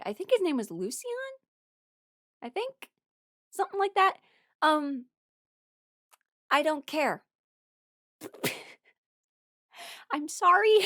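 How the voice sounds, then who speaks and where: A woman giving casual, rambling opinion commentary as a host, candid and quick to soften her blunt takes with apologies. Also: high voice, no room sound, clean studio sound. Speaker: host ranking audio drama characters.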